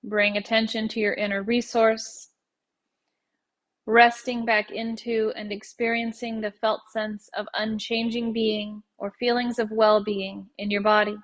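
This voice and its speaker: A woman guiding a meditation as a teacher, speaking in a calm, measured way with pauses between phrases. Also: a little high. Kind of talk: guided meditation narration.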